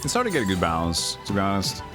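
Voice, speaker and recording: A man's voice: low voice, wildly swooping pitch, quick pace, over music. A man giving casual live commentary as a game streamer, musing in a rambling way.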